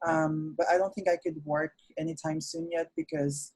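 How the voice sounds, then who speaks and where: A man from the Philippines, a nurse's aide, talking casually over a video call, soft-spoken and full of "you know" fillers. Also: a little high, level tone, moderate pace, breathy edge. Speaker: nurse's aide recovering from illness, interview guest. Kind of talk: casual interview conversation.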